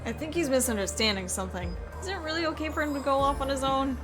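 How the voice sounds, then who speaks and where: A woman reacting to a game with friends in loud exclamations, prone to laughing. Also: high-pitched, quick pace. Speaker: participant in a group gaming video. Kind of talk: excitable casual gaming commentary with friends. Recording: loud game music behind the voice. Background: game music.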